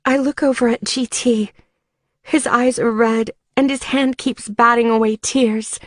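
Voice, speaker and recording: Female audiobook narrator, slightly high voice, unhurried, emotive first-person storytelling. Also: steady tone, dry recording, studio quality.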